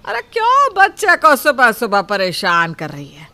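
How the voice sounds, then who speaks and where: A woman's voice: on the high side, wildly swooping pitch, a little slow, pushed and bright, heavily acted. Woman voicing a scolding bossy grandmother. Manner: nagging, scolding.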